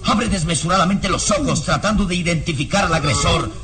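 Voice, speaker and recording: A man narrating a radio serial in a suspenseful, theatrical and measured scripted style. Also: sits high. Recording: radio drama under loud music.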